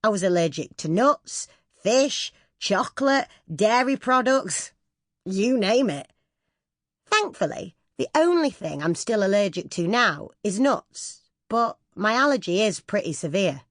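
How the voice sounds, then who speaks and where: A woman's voice: medium pitch, big pitch swings, measured pace, dry recording, clean studio sound. A woman reading a scripted first-person memoir as an audiobook narrator.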